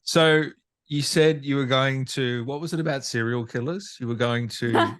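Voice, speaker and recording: A man acts as host in a relaxed interview. He speaks informally at a middle pitch, chiming in with brief reactions and questions. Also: normal speed. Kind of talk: casual interview chat.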